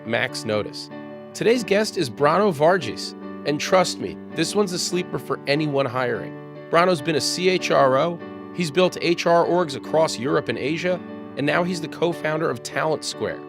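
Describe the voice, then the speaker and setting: Man, podcast host, upbeat, brisk delivery, faint guitar music behind. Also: on the high side. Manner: upbeat, scripted-sounding intro. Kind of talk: podcast interview.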